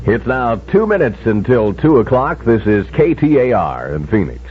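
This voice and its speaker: Animated male station announcer on old radio. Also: medium pitch, normal speed. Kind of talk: formal station identification announcement. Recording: dull lo-fi old radio broadcast.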